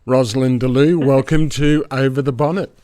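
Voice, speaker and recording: A man hosting an interview, asking questions slowly and deliberately. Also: medium pitch, fairly even intonation, warm tone, faint music behind. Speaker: podcast host.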